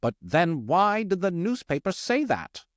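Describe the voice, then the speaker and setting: Man voicing a blunt, animated male rat. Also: high-pitched, measured pace, dry recording, studio quality. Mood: worried. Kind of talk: audiobook character voice.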